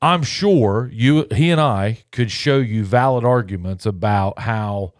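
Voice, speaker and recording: A man's voice: fairly low pitch, a little slow, no echo, studio quality. A man in his forties hosting a casual podcast, talking in a rambling way that circles back and rephrases. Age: 40s. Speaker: podcast host.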